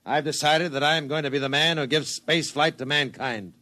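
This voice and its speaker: A man's voice: a little high, a little fast, bright, forceful tone. An actor playing a ruthless, domineering male industrialist in a radio drama, curt and commanding. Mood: commanding, impatient. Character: ruthless, imperious industrialist boss, a cold domineering man. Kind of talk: old-time radio drama acting.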